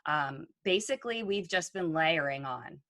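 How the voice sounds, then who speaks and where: A woman's voice: low voice, animated, measured pace, fairly bright tone, dry recording, clean studio sound. A woman co-hosting a podcast, talking earnestly and reflectively with frequent ums. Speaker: podcast co-host. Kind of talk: conversational podcast talk.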